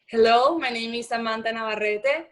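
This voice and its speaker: Shy, slow-talking Venezuelan woman. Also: fairly high pitch. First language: Spanish. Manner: shy, laughs between halting English phrases. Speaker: guest, brand co-founder.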